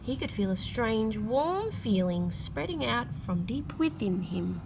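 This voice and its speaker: A woman reading a children's picture book aloud, with expressive emphasis and drawn-out key words. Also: on the high side, vocal fry, background noise. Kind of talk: read-aloud storytelling for children.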